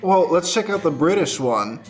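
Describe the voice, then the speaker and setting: Man hamming up an amazed, high-pitched ad customer. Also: animated, moderate pace, over music, close to an everyday voice, low-quality recording. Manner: exaggerated amazement. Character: gee-whiz enthusiastic customer in spoof commercial. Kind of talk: improvised comedy commercial.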